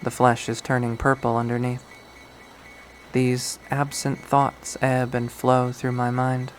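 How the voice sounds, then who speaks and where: A man reading a visual novel aloud as dramatic first-person narration, in a measured way. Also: medium pitch, completely flat delivery, low-quality recording. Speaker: game player reading aloud. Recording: faint background noise.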